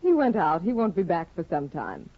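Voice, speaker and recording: An actress playing a bored, disdainful aristocratic young wife in a 1950s radio drama, with a haughty, sardonic, theatrical delivery. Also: female voice, fairly low pitch, wildly swooping pitch, moderate pace, no echo. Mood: weary disdain. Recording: dull vintage 1950 radio recording.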